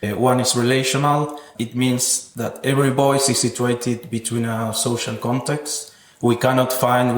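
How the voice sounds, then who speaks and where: Man speaking evenly in an echoey room. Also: mid-range voice. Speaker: interviewed sound artist. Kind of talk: reflective interview about own work.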